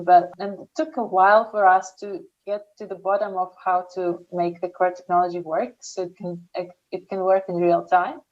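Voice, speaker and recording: A young woman with an Eastern European accent, a startup founder answering interview questions slowly and carefully, often restarting her sentences. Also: mid-range voice, darkish tone, lo-fi sound. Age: young adult.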